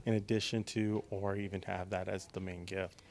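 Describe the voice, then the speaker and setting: A man's voice: deep voice, flat delivery, a little fast, a little mellow. A man hosting a casual promotional interview in an encouraging, complimentary manner. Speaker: interview host.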